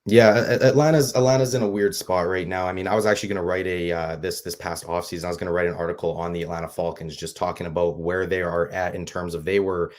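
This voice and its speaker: A man hosting a casual sports talk show, his voice raspy from a cold, talking loosely with many fillers and restarts. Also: low-pitched, little pitch movement, quick pace, hardly any pauses. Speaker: show host.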